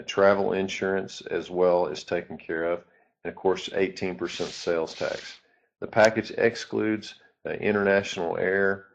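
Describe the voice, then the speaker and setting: A man with a very low voice walks through a tour itinerary at a steady pace. He often pauses on "uh" between phrases. The recording sounds dull and lo-fi. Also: vocal fry. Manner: steady, frequent "uh" fillers. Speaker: tour presenter. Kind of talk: narrated tour itinerary presentation.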